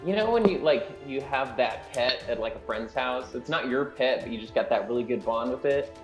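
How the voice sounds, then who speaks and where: A man's voice: mid-range voice, expressive, normal speed. A man chatting casually and slangily as a player in a tabletop game. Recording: roomy echo, music behind.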